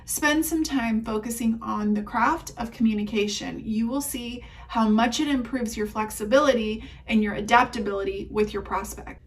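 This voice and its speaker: A woman's voice: a little high, far from the mic, a little hiss or hum. A middle-aged woman, whose first languages were Spanish and German, giving an upbeat, chatty lesson as a trainer. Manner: energetic, friendly and chatty, with quick laughs. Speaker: apartment marketing trainer. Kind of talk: upbeat instructional talk to camera.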